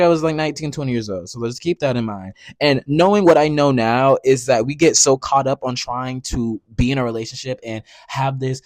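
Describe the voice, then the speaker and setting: A man in his early twenties hosting a podcast, talking casually and with sass, sometimes jumping into loud, high outbursts. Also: dry recording. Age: 20s. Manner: animated, sassy, conversational with frequent "you know what I'm saying"; rises into loud high outbursts when worked up. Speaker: podcast host. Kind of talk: casual solo podcast talk.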